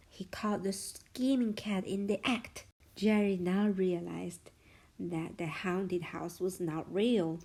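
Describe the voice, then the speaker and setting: Slow, soft-toned woman reading a bedtime story. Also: medium pitch, slightly rough. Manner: expressive, with hushed suspense and questions to the child. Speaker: parent reading bedtime stories to children. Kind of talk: storybook read-aloud for children.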